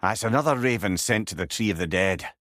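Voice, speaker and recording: An actor voicing a clever, chatty old companion in a video game, with a Scottish accent and a wry, eloquent way of talking. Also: male voice, on the low side, animated, a little fast, a bit bright, dry recording, clean studio sound. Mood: thoughtful, explaining. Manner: wordy, eloquent, wry storyteller. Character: clever, chatty, sardonic old male severed head companion. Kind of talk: video game character dialogue.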